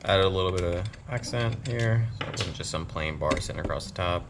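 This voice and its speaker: A man casually walking through a home motorcycle project, relaxed and full of pauses. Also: deep voice, very noisy. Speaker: motorcycle builder. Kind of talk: casual DIY build walkthrough. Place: home workshop.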